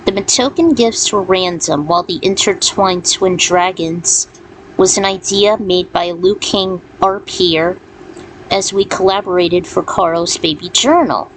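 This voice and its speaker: A woman's voice: on the low side, unhurried. A woman reading her own fan fiction and author's notes as a storyteller. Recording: clearly noisy background.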